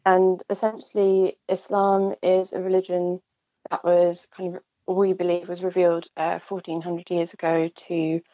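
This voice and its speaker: A woman guest on a podcast, speaking in a relaxed, measured way and giggling easily. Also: lowish voice, no echo, highs cut off. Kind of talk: casual conversational interview.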